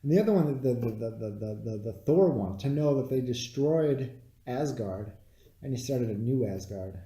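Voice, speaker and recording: A man's voice: medium pitch, lively intonation, normal speed, mellow. A man co-hosting a casual film review, retelling loosely and animatedly with free swearing, recorded in an echoey room. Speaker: podcast co-host.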